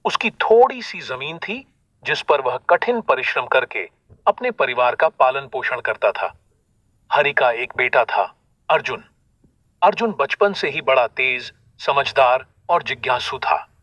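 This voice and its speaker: A man narrating a scripted moral story as a storyteller, in a measured delivery. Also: medium pitch, lively intonation, a bit bright, dull audio.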